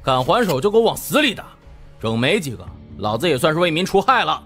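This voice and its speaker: A man's voice: fairly high pitch, sing-song, fast talker, fairly bright tone, faint music behind, voice pushed up. A man voicing an arrogant, foul-mouthed thug boss who sneers and barks orders in an audiobook. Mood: furious. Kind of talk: dramatised audiobook character acting.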